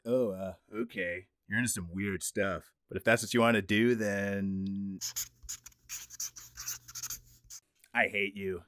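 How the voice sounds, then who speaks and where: A man's voice: fairly low pitch, fairly even intonation, moderate pace, fairly bright tone, smooth, no room sound, clean studio sound, natural voice. A man voicing an abrasive, sarcastic teenage boy in an amateur webcomic dub, snide and grumbling. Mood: wry, reluctant. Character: abrasive sarcastic teenage boy, anonymous 4chan website personified.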